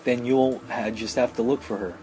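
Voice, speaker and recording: A man's voice: mid-range voice, steady tone, normal speed, background noise, close to an everyday voice. A man voicing a bossy, teasing teenage little sister from an anime visual novel, lecturing and scolding.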